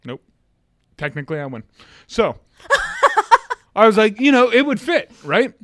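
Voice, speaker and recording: A man's voice: wildly swooping pitch, unhurried, sharp tone, no room sound, studio quality. A man co-hosting a podcast in casual chat, speaking with lots of inflection and laughing often. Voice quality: naturally deep voice. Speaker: podcast co-host.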